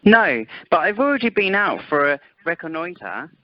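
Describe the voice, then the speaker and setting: A sheepish, evasive tour rep fellow, played by a woman in an amateur radio comedy. The voice is fairly low with huge pitch swings, on a dull telephone recording. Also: very animated, normal speed, no echo. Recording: dull telephone conference line.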